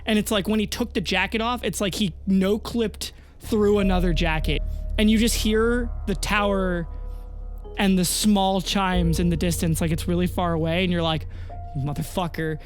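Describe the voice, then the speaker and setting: A game master with a mid-pitched voice narrates a fantasy tabletop game. The telling is dramatic yet casual and improvised, with faint music underneath. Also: fairly even intonation, moderate pace. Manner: dramatic storytelling, casual and sweary.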